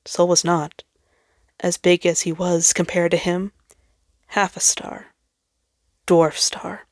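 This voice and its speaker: A woman's voice: on the low side, flat delivery, slow, no room sound, clean studio sound. A woman reading a story aloud as dramatic scripted narration. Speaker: fan fiction reader.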